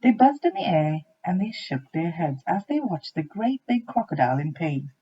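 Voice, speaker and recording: A woman reading a children's storybook aloud with expressive narration, like a teacher to young listeners. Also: fairly low pitch, normal speed, breathy edge, dull audio.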